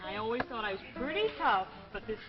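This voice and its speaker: Actress as high-voiced, tough, skeptical girlfriend. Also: animated, fast, natural voice. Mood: wary. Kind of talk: 1950s television drama acting. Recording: dull vintage 1950s broadcast, reverberant, loud music.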